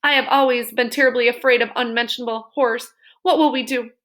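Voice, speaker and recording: A woman voicing a fussy, doting middle-aged housewife with a high, gushing way of talking. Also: fairly even intonation, quick pace, fairly bright tone, lightly acted. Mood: fretful.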